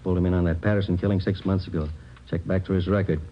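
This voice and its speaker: An actor playing a steady, plain-spoken male police detective, clipped and matter-of-fact, in an old radio drama. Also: sits low, racing, close to an everyday voice. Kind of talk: 1950s radio acting. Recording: muffled, faintly noisy old radio recording.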